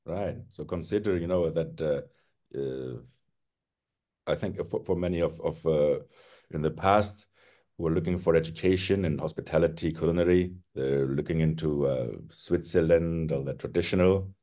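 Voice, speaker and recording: A man from Iceland, hosting a casual interview and talking in halting bursts full of restarts and fillers. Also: extremely low pitch, warm tone. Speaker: podcast host.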